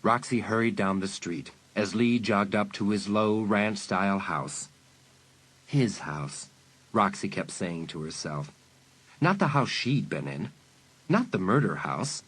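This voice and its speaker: A man narrating a suspense audiobook in a measured, dramatic storytelling manner. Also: on the low side, expressive, fairly bright tone, husky, poor audio.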